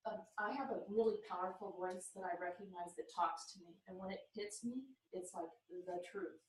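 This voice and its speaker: A woman's voice: medium pitch, normal speed, distant mic. A woman in a group session earnestly sharing a personal experience. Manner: earnest, heartfelt. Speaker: group member. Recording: roomy, from off-mic.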